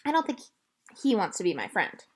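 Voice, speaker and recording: A woman's voice: medium pitch, huge pitch swings, a little fast, breathy edge. A woman voicing an earnest, frank young girl in a children's storybook. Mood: stubborn, indignant. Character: earnest, plain-spoken young girl, eager and stubborn.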